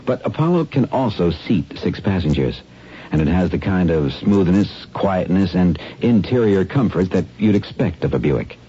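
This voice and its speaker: A man giving a plain-spoken, persuasive, conversational sales pitch as a 1970s radio announcer. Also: big pitch swings, moderate pace, warm tone, breathy edge. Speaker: car advertisement announcer. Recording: dull old radio broadcast.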